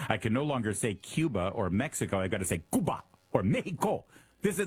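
Sarcastic middle-aged Midwestern man, husky voice. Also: mid-range voice. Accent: Midwestern American. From American Midwest. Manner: sarcastic, mocking exaggerated pronunciations, repeats words when starting. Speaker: radio and podcast host. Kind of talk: opinionated political talk show monologue.

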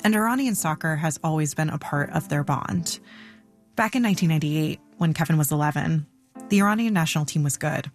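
A woman reporter narrating a news podcast story in a calm, measured way, with faint music underneath. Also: deep voice. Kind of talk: scripted narration.

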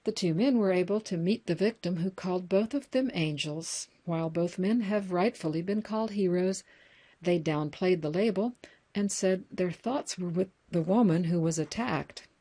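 A woman reading book excerpts and news articles aloud, steady and measured, correcting herself now and then. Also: on the low side.

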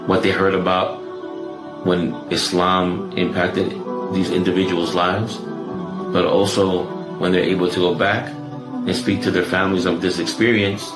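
A man speaking calmly and softly to his community at an Islamic center, in a measured way. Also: low voice, reverberant. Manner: measured, soft-spoken, calm. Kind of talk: address to the community.

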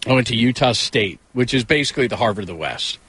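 A man's voice: medium pitch, expressive, fast, a bit bright, slightly rough, no echo, poor audio. A man hosting a casual sports talk radio show, rambling conversationally through stories with self-deprecating asides. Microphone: close studio microphone.

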